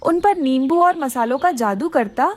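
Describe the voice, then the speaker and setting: A woman's voice: high-pitched, animated, normal speed, no room sound. A woman giving scripted narration for a children's cartoon story.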